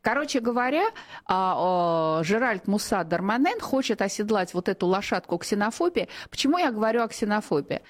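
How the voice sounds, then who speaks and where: A middle-aged woman from Russia speaks as a radio guest. She answers in an emphatic, heated way and stops often for fillers and restarts. Her voice sits at an ordinary pitch for a woman. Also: big pitch swings, brisk. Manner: emphatic, heated, many fillers and self-corrections. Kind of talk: opinionated radio interview answers.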